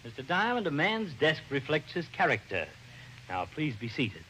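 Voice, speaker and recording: Pompous, animated police lieutenant. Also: male voice, a little high, normal speed, heavily acted. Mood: stiff, pompous. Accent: American. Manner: self-important, stiffly formal. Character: gruff police lieutenant putting on proud dignity. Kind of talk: 1940s radio detective acting. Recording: noisy old-time radio recording.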